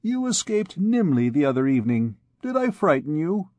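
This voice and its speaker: A man's voice: on the high side, very animated, normal speed, darkish tone, no room sound, voice pushed up. A man voicing a swaggering young army captain who talks in a boastful, blustering way. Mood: gallant.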